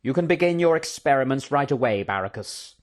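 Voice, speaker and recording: A smug storybook prince, played by a male narrator, commanding and coldly polite. His courtesy is measured and carries menace. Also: medium pitch, animated, pitched above the performer's own voice, natural voice. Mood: smug satisfaction.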